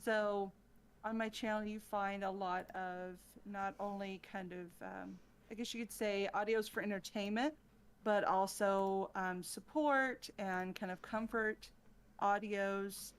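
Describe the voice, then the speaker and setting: A woman speaking as a podcast guest in casual chat, hesitant and halting. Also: medium pitch, fairly even intonation, clear, resonant tone. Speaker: podcast guest, ASMR creator.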